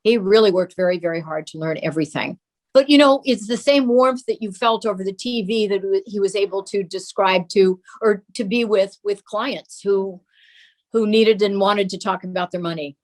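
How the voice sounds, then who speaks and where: A woman's voice: mid-range voice, moderate pace. An older woman being interviewed, telling family memories in an anecdotal, explanatory way. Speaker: interview guest. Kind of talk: reminiscing in an interview.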